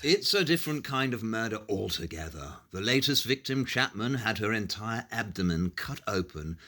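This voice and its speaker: An actor playing a brilliant, arrogant Victorian detective in an audio drama, with a superior, dryly witty manner. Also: male voice, fairly low pitch, sing-song, normal speed, bright, forceful tone. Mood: grimly analytical.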